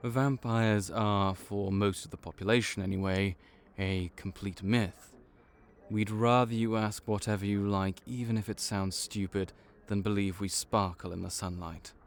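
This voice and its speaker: A man's voice: on the low side, fairly even intonation, measured pace. A man voicing a male vampire intake officer in an audio roleplay, matter-of-fact and wry as he walks through the paperwork. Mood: businesslike.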